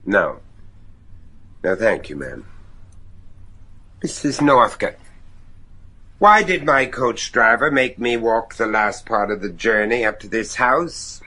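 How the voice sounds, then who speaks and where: An actor playing an earnest, courteous American judge in a gothic radio drama, speaking in a measured, halting way. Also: male voice, on the low side, very animated, pushed and bright, full character voice. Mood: courteous, probing.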